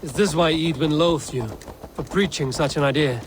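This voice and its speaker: Blunt Viking warrior played by an actor, highish voice. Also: male voice, moderate pace, background noise, close to an everyday voice. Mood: skeptical. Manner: blunt, challenging. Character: proud, skeptical, blunt Viking warrior man. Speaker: game protagonist. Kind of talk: dramatic video game acting.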